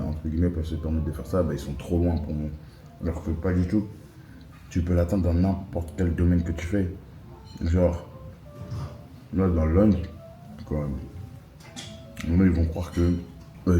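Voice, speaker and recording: A young man in his twenties with a French accent, vlogging casually in an airport lounge, slangy and chatty, talking straight to his viewers. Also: very deep voice. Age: 20s. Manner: slangy, chatty, addresses viewers as "les gars". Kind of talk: casual vlog talk to camera. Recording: noisy airport ambience.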